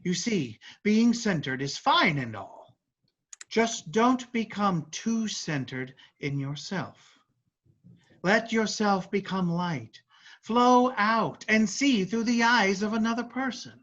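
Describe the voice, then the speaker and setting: A woman's voice: medium pitch, animated, takes it slowly. A woman in a staged play reading, playing a free-spirited, easygoing spirit who encourages a young girl. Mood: light, encouraging. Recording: dull lo-fi recording.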